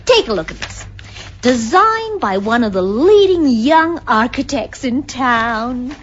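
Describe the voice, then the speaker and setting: An actress playing a haughty, self-absorbed middle-aged wife in a radio drama, condescending and theatrical. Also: female voice, on the high side, sing-song, slow pace, a little hiss or hum. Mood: haughty, resolved. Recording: dull lo-fi radio recording.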